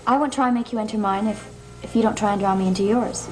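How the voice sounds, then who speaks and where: A woman's voice: mid-range voice, fairly even intonation, fast talker, natural voice. An actress playing an otherworldly, self-possessed young woman in a gothic television soap, with a cool, enigmatic manner. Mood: aloof, evasive. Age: young adult. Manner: cool, enigmatic, declining politely. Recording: old TV soundtrack with organ music.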